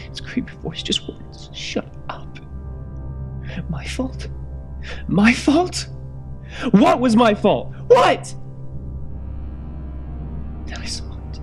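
A woman voicing a terrified, guilt-ridden husband in a first-person horror story, halting and breathy, often dropping to a whisper. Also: fairly low pitch, full character voice. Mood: hushed, haunted, then indignant, then hushed dread. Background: background music.

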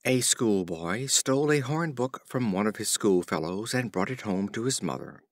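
A man narrating a storybook of fables in a measured, expressive reading. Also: on the low side, no echo, clean studio sound. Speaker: audiobook narrator.

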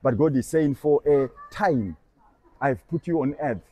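A man's voice: medium pitch, measured pace, warm tone. A young man preaching on a busy shopping street, talking earnestly and persuasively to a passer-by and repeating scripture back line by line. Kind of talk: street evangelism conversation.

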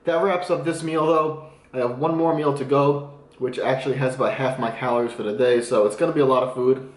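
A man's voice: mid-range voice, steady tone, a little fast. A young man in his twenties talks casually and cheerfully to his camera, explaining his eating habits as he goes. His voice sits in the middle of the male range. The small home room adds a roomy echo. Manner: upbeat, explains nutrition while eating. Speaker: fitness vlogger. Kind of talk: casual food vlog.

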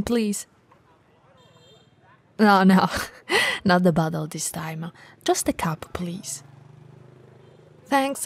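A woman playing a very tall, friendly, teasing bento shop owner in an ASMR roleplay, speaking softly and breathily, sometimes dropping into a whisper. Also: medium pitch, husky, full character voice. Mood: friendly, inviting.

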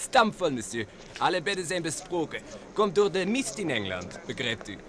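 High-pitched, lively French train attendant. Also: male voice, normal speed. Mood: polite, brisk. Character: polite, obliging French-speaking male sleeping-car attendant. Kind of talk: old radio drama acting. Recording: noisy old radio recording, train ambience.

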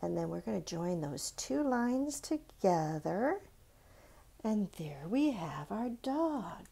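Slow-paced woman teaching children to draw. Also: mid-range voice, big pitch swings, gravelly, warm tone, slightly rough. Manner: simple, encouraging, instructional, asks the listener questions. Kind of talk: step-by-step art tutorial for young children.

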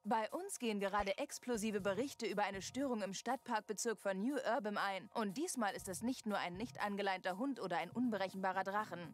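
A woman's voice: medium pitch, brisk, hardly any pauses, close to an everyday voice. A woman playing a smug, chirpy television news anchor in a game, with a polished presenter's delivery. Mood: brisk, newsy. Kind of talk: scripted news bulletin parody.

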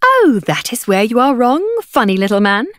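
A woman's voice: on the high side, big pitch swings, normal speed, fairly bright tone, voice pushed up. A woman voicing a friendly female peddler in an audiobook, effusive and grand in her sing-song delivery. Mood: grandly excited. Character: soft, gushing, friendly woman cart hawker. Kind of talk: audiobook character voice.

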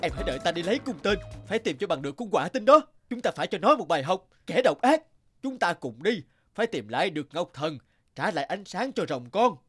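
A man voicing a brave young village man in a cartoon, earnest and forceful. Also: high voice, very animated, quick pace, husky, soft background music, full character voice. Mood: determined. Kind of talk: cartoon voice acting.

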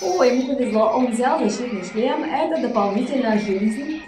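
A woman's voice: fairly high pitch, expressive, normal speed, noisy background. A young woman hosting a gaming channel for children, chatting through the play and addressing young viewers with affectionate pet words. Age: young adult. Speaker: host of a kids' gaming channel. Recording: roomy echo under background music. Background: music.

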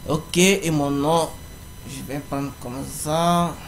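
A young man with a slightly high voice guides viewers through an application form step by step. He speaks haltingly and fills gaps with hesitation sounds. A faint background noise runs under his voice. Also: steady tone. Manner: halting, frequent fillers. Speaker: tutorial presenter. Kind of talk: screen-recorded walkthrough.

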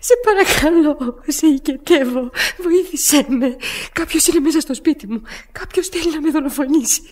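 A woman's voice: high voice, a little mellow, close to an everyday voice. An actress playing a frantic, breathless sick woman alone at home, pleading hysterically in a radio drama.

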